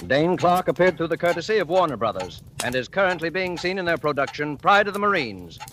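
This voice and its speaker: Bright, crisp old-time radio announcer. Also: male voice, a little high, sing-song, a little fast, a little hiss or hum. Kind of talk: 1940s radio show credits. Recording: old radio broadcast with music.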